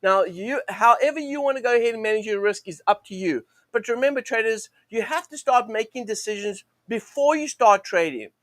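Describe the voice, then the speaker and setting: A man with a high, croaky voice, strained from a sore throat, hosts a live market show. He rambles on through fillers and false starts, leaning on the same stock phrases. The sound is close and dry, with no room around it. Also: expressive, fairly quick, few pauses, fairly bright tone, no room sound. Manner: rambling, many fillers and restarts, "go ahead and". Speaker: host of a live forex trading show. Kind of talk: live-stream teaching monologue.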